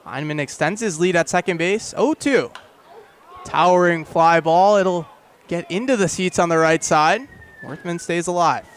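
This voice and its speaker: A young man calls baseball live on the radio in a high-pitched voice. He speaks in short, clipped phrases and builds to excited calls as the play develops. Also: moderate pace, some chatter behind, slight background noise. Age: young adult. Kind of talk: live radio sports commentary.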